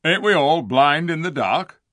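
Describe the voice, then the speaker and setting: A man voicing a surly, suspicious dwarf in a storybook reading, gruff and blustering as he grumbles in colloquial speech. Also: a little high, animated, unhurried, bright, forceful tone, no echo, studio quality, voice pushed up. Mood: scornful. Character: surly, defiant, suspicious dwarf, scornful and rude.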